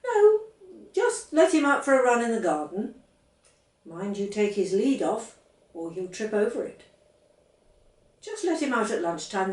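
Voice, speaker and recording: A woman's voice: mid-range voice, darkish tone, recorded from a distance. A woman voicing an eager, insistent seven-year-old girl in a read-aloud story, earnest and bossy. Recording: roomy, set back from the mic.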